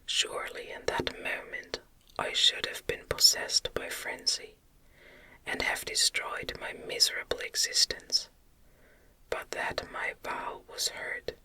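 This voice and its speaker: Whispering woman reading slowly. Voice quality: breathy, unvoiced whisper. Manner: gentle, soothing, deliberate storytelling. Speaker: ASMR narrator reading an audiobook. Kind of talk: whispered ASMR reading of a gothic novel.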